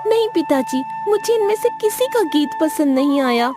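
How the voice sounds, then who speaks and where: A young princess, voiced by a woman, speaks in an extremely high voice. She is a willful cartoon daughter of a king, with music clearly underneath. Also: lively intonation, moderate pace. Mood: displeased. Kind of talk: Hindi cartoon moral story dialogue. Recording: music behind the voice.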